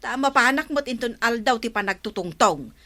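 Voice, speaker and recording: A woman's voice: a little high, lively intonation, moderate pace, pushed and bright. A woman playing a gossipy, defiant village woman in a comedy drama, speaking with a Filipino accent. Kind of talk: Ilocano comedy radio-drama acting.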